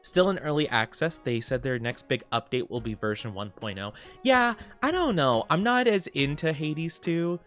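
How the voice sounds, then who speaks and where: Sniffly young man rambling softly. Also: fairly high pitch, lively intonation, moderate pace, hardly any pauses, soft background music. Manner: soft-spoken, rambling, many fillers. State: sniffly, stuffed up. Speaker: streamer. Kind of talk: casual chat with live stream viewers.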